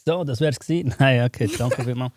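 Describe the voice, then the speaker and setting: A man's voice: medium pitch, fairly quick, a little mellow. A man with a Swiss German accent hosting a casual podcast interview, asking blunt, direct questions. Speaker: podcast host.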